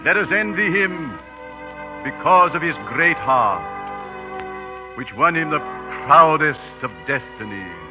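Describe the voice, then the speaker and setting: An actor playing a solemn, eloquent orator giving a funeral oration in grand, declamatory 1930s radio style. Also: male voice, fairly high pitch, lively intonation, music in the background. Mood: fervent, reverent. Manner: slow, declamatory, exalted. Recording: muffled 1930s radio broadcast recording.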